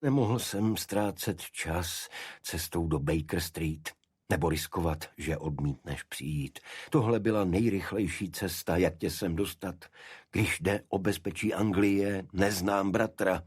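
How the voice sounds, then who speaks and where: A man's voice: low voice, darkish tone, slightly rough. A man voicing a formal, serious Victorian government official in an audiobook detective story. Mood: faintly apologetic. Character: grave, authoritative male Victorian government official, detective's brother.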